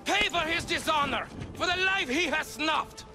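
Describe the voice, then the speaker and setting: An actor playing a harsh Spartan man in a video game, shouting accusations. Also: very high voice, moderate pace, faint music behind, exaggerated voice. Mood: condemning. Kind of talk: cinematic video game acting.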